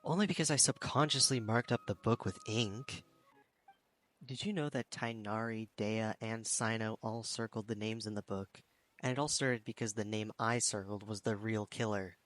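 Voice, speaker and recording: A man voicing an expressive, easily exasperated young male game character with an animated, questioning fan-dub delivery. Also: on the low side, moderate pace, no room sound, close to an everyday voice.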